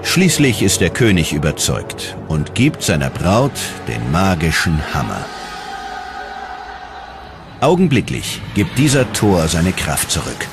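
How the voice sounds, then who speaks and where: A man narrates a documentary in a deep voice over clearly audible music. His scripted delivery is dramatic, like a storyteller's, with widely moving intonation. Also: wildly swooping pitch, slow pace.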